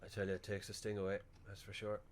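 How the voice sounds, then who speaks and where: Man acting an awkward, low-voiced Irish funeral director. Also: monotone, quick pace, a little hiss or hum, close to an everyday voice. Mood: awkward, chatty. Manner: hesitant, rambling, bumbling. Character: awkward, chatty, well-meaning male funeral director. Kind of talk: radio play acting.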